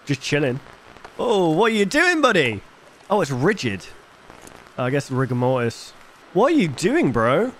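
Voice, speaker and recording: A man's voice: fairly high pitch, wildly swooping pitch, unhurried, long pauses, a little hiss or hum. A man in his twenties giving casual reaction commentary into a close desk microphone. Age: 20s. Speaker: reaction video host. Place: bedroom gaming setup, indoors.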